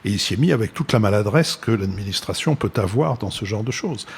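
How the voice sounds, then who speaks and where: A man's voice: fairly low pitch, lively intonation, brisk, creaky, husky. A man hosting a panel discussion, putting long, discursive questions with a wry turn of phrase. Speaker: host, moderator.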